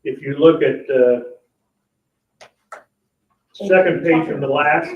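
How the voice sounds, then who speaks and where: A man's voice: on the high side, takes it slowly. A man speaking as a town official, explaining procedure at a public board meeting. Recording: echoey, muffled meeting room, distant mic.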